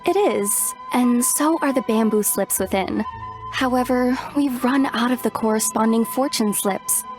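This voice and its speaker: A woman's voice: on the high side, unhurried, over music, close to an everyday voice. A woman playing a polite, apologetic young shrine attendant in a video game, formal and slightly flustered.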